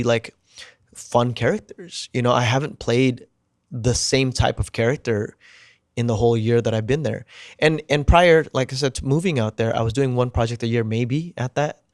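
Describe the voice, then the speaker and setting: A man whose first language is Indonesian, an actor chatting as a guest, animated and acting out quoted remarks with lots of "like" fillers. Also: mid-range voice, no room sound, clean studio sound. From United States. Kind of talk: casual interview chat.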